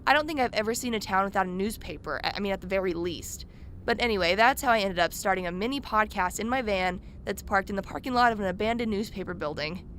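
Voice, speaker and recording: A woman's voice: mid-range voice, fast, fairly bright tone, slight background noise, close to an everyday voice. A woman playing a chatty, curious true-crime podcaster in a radio play, telling her story informally. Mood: chatty, intrigued.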